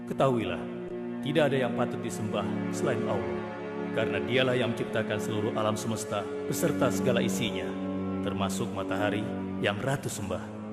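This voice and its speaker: A man voicing a stern, commanding king and prophet in a cartoon, speaking in a measured, regal way. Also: lowish voice, lightly acted. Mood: solemn. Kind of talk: cartoon voice acting. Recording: music bed behind.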